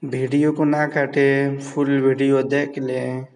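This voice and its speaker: A man's voice: mid-range voice, level tone, darkish tone, in a large hall. A man narrating a home cooking video step by step at the stove, repeating himself and urging viewers to subscribe. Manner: slow, repetitive, keeps urging viewers to subscribe. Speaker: cooking vlogger.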